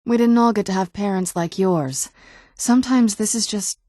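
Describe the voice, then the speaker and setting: A woman's voice: medium pitch, normal speed, dark tone, no room sound, natural voice. A woman playing a guarded, wry vampire noblewoman in a fantasy video game, talking in a dry, understated, conversational way. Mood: guarded, wistful. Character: guarded, wry ancient vampire noblewoman who looks young. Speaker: adventuring companion character in a fantasy video game. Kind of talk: video game dialogue acting.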